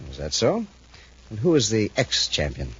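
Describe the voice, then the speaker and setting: A smug, husky criminal mastermind. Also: male voice, fairly low pitch, wildly swooping pitch, moderate pace, exaggerated voice. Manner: smug, commanding, coldly impatient. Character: arrogant, calculating escaped swindler turned criminal genius. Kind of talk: 1950s radio adventure acting. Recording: muffled 1950s radio recording.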